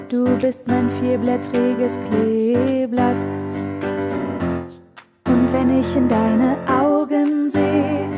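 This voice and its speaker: A woman singing a sentimental love song with piano backing. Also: sits high. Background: piano and musical backing.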